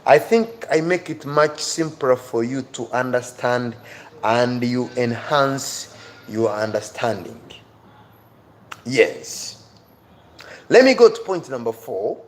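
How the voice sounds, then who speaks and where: A man's voice: mid-range voice, lively intonation, takes it slowly. A man teaching on a livestream, speaking emphatically, repeating phrases and urging his viewers directly. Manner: addresses viewers directly, pleading and encouraging. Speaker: online teacher and livestream host. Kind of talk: livestream English reading-skills lesson. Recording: clear background noise.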